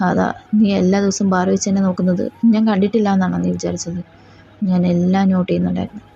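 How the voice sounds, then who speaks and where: A menacing young mafia boss, played by a woman speaks in a low, slow and possessive way, each line a quiet threat. His voice is mellow and dark, lowered well below her usual pitch. The fan audio drama is a slightly dull, lo-fi recording with faint music behind it. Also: level tone, vocal fry, breathy edge. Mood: cold.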